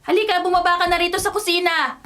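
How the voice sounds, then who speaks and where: A woman in a Filipino radio drama playing an aunt who briskly hands out household chores. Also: very high-pitched, steady tone, bright, forceful tone.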